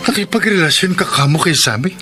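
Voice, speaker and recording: An actor playing a betrayed, hardworking husband with an explosive temper, ranting and accusing in a melodramatic drama. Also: male voice, a little high, fast talker, pushed and bright, soft background music. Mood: bitter, accusing. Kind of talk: melodramatic Filipino radio-style drama acting.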